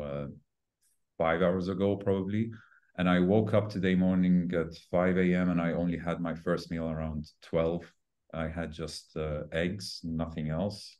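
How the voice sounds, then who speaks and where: A man in his forties talking as a fitness trainer in an interview, explaining in a conversational, halting way and repeating key words for emphasis. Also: low-pitched, darkish tone. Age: 40s. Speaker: fitness trainer and podcast guest.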